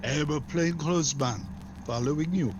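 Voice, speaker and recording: A man's voice: fairly high pitch, moderate pace, noisy background, natural voice. An actor playing a matter-of-fact plainclothes policeman in a 1940s-style radio drama. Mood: deadpan.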